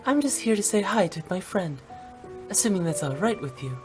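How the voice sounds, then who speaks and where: A woman's voice: mid-range voice, big pitch swings, moderate pace, music in the background, close to an everyday voice. A woman voicing a playful young funeral director with a cheeky, bubbly, teasing manner, in a video game.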